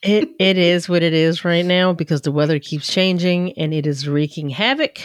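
A woman hosting a podcast in casual, upbeat, lively conversation. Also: on the low side, normal speed, no echo, clean studio sound. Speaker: podcast host.

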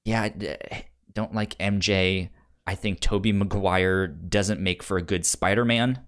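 A low-pitched male podcast co-host chats casually and argues his opinions. His speech is halting, with frequent stutters on repeated syllables, circling back as he builds a point. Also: fairly even intonation, smooth. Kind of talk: casual debate chat about movies.

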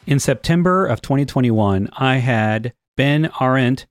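A man's voice: mid-range voice, expressive, a little slow, dry recording, soft background music. A man hosting a tech podcast, explaining things in a casual, conversational way. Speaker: podcast host.

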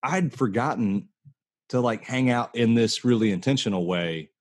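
A man's voice: mid-range voice, moderate pace, no room sound, clean studio sound. An American man in his late thirties hosting a casual, heartfelt podcast chat, talking earnestly and telling stories conversationally. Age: late 30s. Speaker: podcast host.

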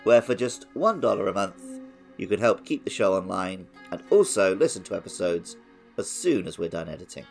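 A man's voice: low voice, big pitch swings, normal speed, creaky, darkish tone, soft background music. A man co-hosting a casual game podcast, jokey and self-mocking as he reads the promos. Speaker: podcast co-host.

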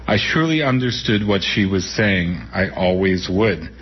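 A man in his sixties from New York City, unhurried and reminiscing as he recounts his childhood and reads from his own book, pausing often. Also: low-pitched, lively intonation, slightly rough. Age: 60s. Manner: unhurried, reminiscing, with frequent pauses and fillers. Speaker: author and guest. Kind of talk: reflective storytelling and reading from his book. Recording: dull radio broadcast sound.

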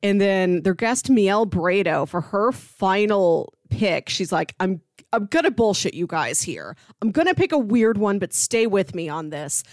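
A woman's voice: mid-range voice, animated, measured pace, no echo. Casual woman podcaster, close dry sound. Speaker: podcast co-host. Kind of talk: casual film discussion podcast.

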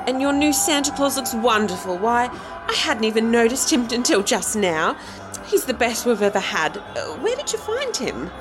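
An actress as an animated, gushing woman assistant. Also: fairly high pitch, normal speed, music in the background. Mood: gushing, flustered. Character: gushing, awkward woman assistant, eager to please. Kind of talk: old-time radio drama acting.

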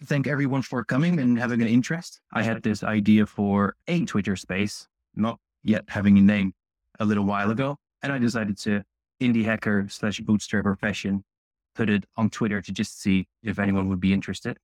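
Male community host, measured and friendly, lowish voice, dry close recording. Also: a little mellow, dry recording. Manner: measured, slightly hesitant, friendly. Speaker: podcast host. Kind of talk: casual hosting of an audio space.

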